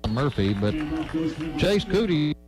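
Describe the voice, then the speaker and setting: A man's voice: a little high, sing-song, takes it slowly. Man calling football play-by-play over music. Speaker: play-by-play commentator. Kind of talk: live radio football commentary. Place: outdoor high school football stadium broadcast.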